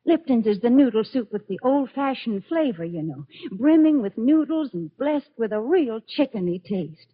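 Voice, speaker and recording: A woman's voice: a little high, lively intonation, normal speed, hardly any pauses, husky. A woman announcer in 1940s radio style, delivering chatty, folksy sponsor banter. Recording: dull old radio recording.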